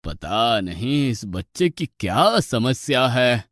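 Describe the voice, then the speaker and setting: A man playing a stern, skeptical guru with an authoritative, scolding manner in an animated moral story. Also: mid-range voice, slow, a little hiss or hum.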